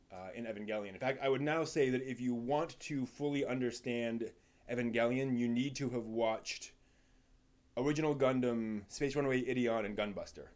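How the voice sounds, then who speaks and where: A man's voice: mid-range voice, a little mellow. Man rambling casually on a live stream. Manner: halting and rambling, with repeated words and trailing thoughts. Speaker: live stream host and anime reviewer.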